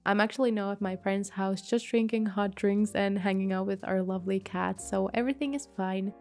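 A woman giving a calm, gentle voice-over for her journal painting video, a little sick from a mild flu. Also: medium pitch, a little fast, soft background music. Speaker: bullet journal creator. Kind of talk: voice-over narration of a craft video.

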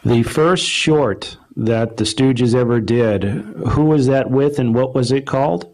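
A man's voice: mid-range voice, slow, darkish tone, rough recording. A man interviewing a guest for a radio club, asking short prompting questions.

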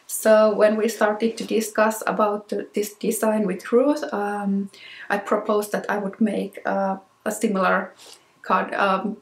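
A woman in her thirties with a Finnish accent, a native Finnish speaker, hosting a knitting podcast in casual talk to camera, unhurried with many ums and restarts. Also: mid-range voice, reverberant. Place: small room with plain wall, indoors.

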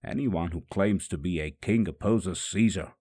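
A man voicing hostile religious leaders in a mob, making aggressive, forceful demands for an execution in a dramatic scripture story. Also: sits low, moderate pace, breathy edge, no room sound. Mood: aggressive, demanding.

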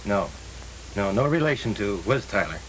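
An actor playing a polite, guarded young American chauffeur in a 1930s film, with a hissy soundtrack. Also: male voice, fairly low pitch, expressive, moderate pace, close to an everyday voice. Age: young adult.

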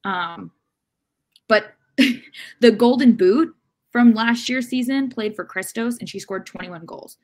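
A woman in her thirties co-hosting an unscripted soccer discussion on a video call, speaking in a measured, hesitant way as she thinks aloud. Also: fairly high pitch, darkish tone. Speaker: sports podcast co-host. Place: room at home.

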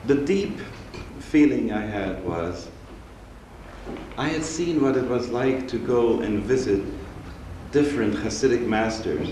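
A man in his sixties, raised in Austria, giving a measured, reflective public lecture as a rabbi from a stage. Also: medium pitch, darkish tone. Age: 60s. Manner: measured, reflective, unhurried storytelling. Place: synagogue hall, seated on stage before an audience. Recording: roomy hall recording with faint hiss.

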